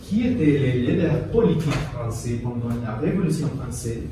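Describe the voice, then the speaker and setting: A man playing a quiz show host in a scripted amateur dramatisation, asking in a halting, deliberate way. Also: medium pitch, animated, close to an everyday voice. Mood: presenting. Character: male quiz show host questioning contestants. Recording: very echoey, distant, loud background noise.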